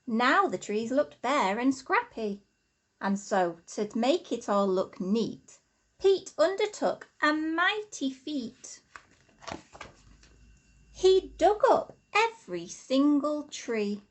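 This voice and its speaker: Woman, infant teacher, storytime reading, higher-pitched, animated intonation, sing-song, deliberate. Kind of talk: expressive children's storybook reading.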